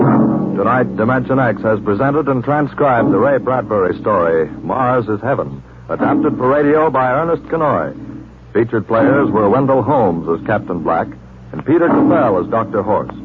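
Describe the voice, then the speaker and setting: A tinny, noisy vintage broadcast with a male radio announcer reading a script. His mid-pitched voice has the formal delivery of fifties radio. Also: lively intonation, normal speed.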